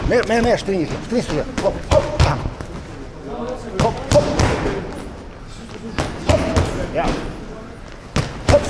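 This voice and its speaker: A middle-aged man coaching pad drills, calling short counts and commands in a large indoor boxing gym, picked up by a camera at a distance. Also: fairly high pitch. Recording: gym noise with loud thuds.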